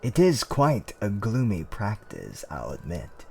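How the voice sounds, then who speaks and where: A man playing a gentle, courteous tavern keeper in a fantasy roleplay, with soothing, old-fashioned politeness and theatrical hums and pauses. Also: on the low side, huge pitch swings, gravelly, slightly rough, full character voice. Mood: concerned, soothing. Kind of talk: roleplay ASMR character acting.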